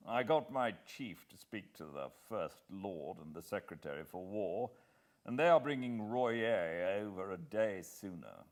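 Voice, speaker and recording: A British man voicing an upper-class senior government official in an audiobook, brisk, confiding and emphatic. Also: low-pitched, lively intonation.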